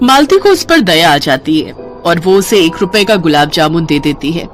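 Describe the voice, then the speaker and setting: A woman's voice: on the low side, sing-song, moderate pace, faint music behind. A woman storyteller reading scripted moral-story narration.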